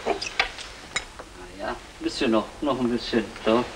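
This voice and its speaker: An actor playing a hapless, evasive small-time crook with dry, hesitant delivery in a vintage German radio comedy. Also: male voice, mid-range voice, normal speed, natural voice. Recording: faintly noisy 1960 radio recording.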